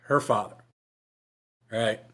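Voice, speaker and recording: A man's voice: fairly low pitch, steady tone, slow, pushed and bright. A man co-hosting a casual book discussion, talking in a rambling way that often repeats and restarts phrases. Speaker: podcast co-host.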